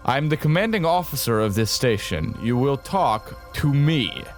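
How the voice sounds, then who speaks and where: Domineering colonel, widely swinging intonation. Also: male voice, medium pitch, sing-song, faint music behind. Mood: angry, insistent. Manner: formal, deliberate, domineering. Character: domineering colonel commanding a space station, self-assured, menacing. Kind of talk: audio drama acting.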